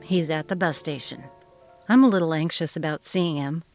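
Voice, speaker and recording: A woman playing a steady, thoughtful middle-aged father in a dramatized story, speaking in a calm, measured way. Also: deep voice, soft background music. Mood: reflective.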